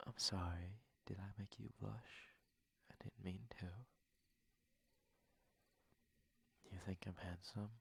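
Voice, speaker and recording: A man playing a courteous, shy, flustered young prince in an intimate ASMR roleplay, speaking gently and hesitantly in formal phrases, often in a breathy whisper. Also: sits low, flat delivery, normal speed, heavily acted. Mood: tender, bashful.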